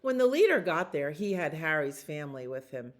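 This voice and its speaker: Low-voiced woman reading a lively children's story. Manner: expressive, deliberate storytelling with drawn-out repeated words. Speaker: librarian reading stories for children. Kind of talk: children's storybook read-aloud.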